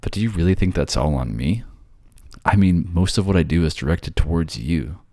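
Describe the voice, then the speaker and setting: A man's voice: low voice, animated, normal speed, darkish tone, no echo, studio quality. A man playing a bored, sardonic ghost who haunts a library, snarky and conversational in an ASMR audio roleplay. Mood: defensive, teasing. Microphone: close, dry microphone.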